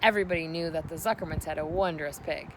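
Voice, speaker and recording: A woman's voice: sits low, fast. Woman reading a storybook over noise. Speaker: read-aloud narrator. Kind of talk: storybook narration.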